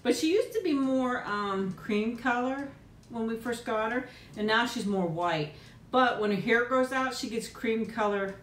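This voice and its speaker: A woman in middle age hosting a casual live chat with viewers at home, rambling and laughing often, with frequent ums. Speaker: host of a live chat and eating stream. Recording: echoey room with faint rumble.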